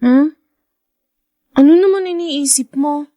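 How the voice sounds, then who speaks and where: A woman's voice: high-pitched, slow pace, mellow, no room sound, clean studio sound. A woman playing a caring, inquisitive young elder sister in a Filipino radio drama, with a concerned manner.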